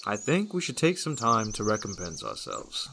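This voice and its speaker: A man's voice: fairly low pitch, huge pitch swings, normal speed, vocal fry, background noise, exaggerated voice. A man playing an earnest, sensible archer hero who is a little bumbling with words, in a fantasy audio drama.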